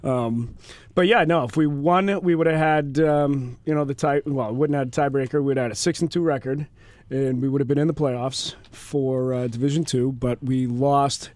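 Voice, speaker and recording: A man who is a football coach, guesting on a radio show, stuffy with a cold, relaxed and easygoing. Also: medium pitch, normal speed, no echo. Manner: relaxed, easygoing, laughs lightly. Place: radio studio. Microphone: studio microphone.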